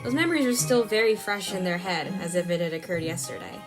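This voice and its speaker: A woman's voice: on the low side, expressive, fast talker. A woman reading scripted narration for a story as its narrator. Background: music bed.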